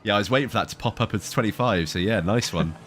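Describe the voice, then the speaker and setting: A man's voice: lowish voice, quick pace, fairly bright tone, slight background noise. A man chatting casually while sim racing with teammates, a bit stuffy from hay fever, rambling and laughing easily.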